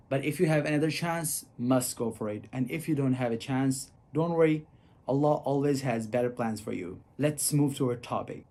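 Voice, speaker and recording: A man's voice: mid-range voice, dark tone. A young man from Pakistan with a Pakistani English accent, talking to camera and giving earnest, encouraging advice to students. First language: not English. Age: young adult. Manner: earnest, encouraging, addresses viewers as you guys. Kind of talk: casual advice talk to camera.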